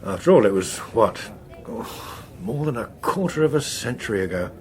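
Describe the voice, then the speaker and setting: An actor playing a suave, unflappable middle-aged senior politician in a radio drama, with a dry, urbane manner. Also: male voice, medium pitch, expressive, normal speed, a little mellow, lightly acted. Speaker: veteran politician.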